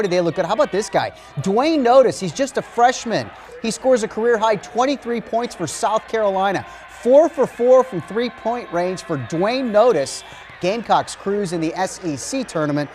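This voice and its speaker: A man with an American accent delivering a punchy, emphatic TV sportscast over highlights. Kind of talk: TV sportscast commentary, scripted narration over highlights.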